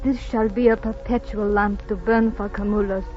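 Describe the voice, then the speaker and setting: An actress playing a devout, fiery, strong-willed Californio ranch wife, emphatic and dramatic in 1940s radio style. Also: female voice, medium pitch, steady tone, normal speed, faint music behind, close to an everyday voice. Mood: reverent. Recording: muffled vintage radio recording.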